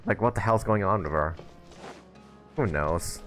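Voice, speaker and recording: Guy livestreaming a game, lowish voice, chatty n wry, faint music in the back. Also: measured pace, fairly bright tone. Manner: informal, sweary, wry asides. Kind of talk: casual live gameplay commentary.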